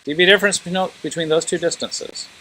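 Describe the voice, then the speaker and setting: A man's voice: on the high side, steady tone, fast, bright, forceful tone, poor audio. A man teaching a class informally, thinking aloud and prodding students with questions.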